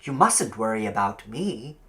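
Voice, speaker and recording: A man plays a polite young gentleman lodger in an audiobook. He sounds naive and courteous, cheerful but a little hesitant, in an everyday male register. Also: on the low side, sing-song, unhurried. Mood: bright, reassuring. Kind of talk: audiobook character voice.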